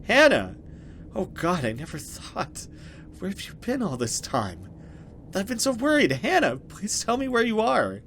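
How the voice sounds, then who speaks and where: Man playing a panicked young woman, high-pitched. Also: huge pitch swings, a little slow, fairly bright tone, slight background noise, exaggerated voice. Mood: desperate. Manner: urgent, raised, anxious. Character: frightened young woman, pleading and worried for her sister.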